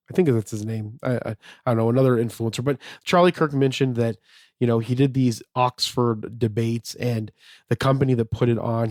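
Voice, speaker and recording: A man hosting his own podcast, talking casually and a bit slightly raspy from a fever, with lots of fillers and restarts. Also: mid-range voice, a little mellow, dry recording, clean studio sound. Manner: rambling, many fillers and restarts. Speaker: podcast host. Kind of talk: casual solo podcast monologue.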